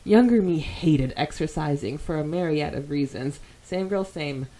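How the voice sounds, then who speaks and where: A woman's voice: deep voice, expressive, moderate pace, warm tone, low-quality recording. A woman co-hosting a podcast, chatting casually and reading out comments in an informal, giggly way. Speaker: podcast co-host.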